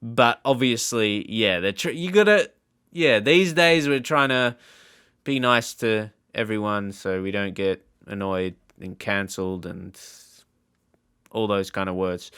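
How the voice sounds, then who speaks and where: A man hosting a gaming channel, giving casual, off-the-cuff reaction commentary in a rambling, halting, informal way. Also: fairly low pitch, fairly bright tone, smooth, no room sound, studio quality.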